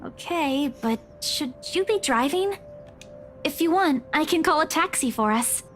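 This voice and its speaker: A woman's voice: high voice, animated, unhurried, fairly bright tone, faint music behind. An actress playing a caring, worried young woman, a concerned friend, in a visual novel game.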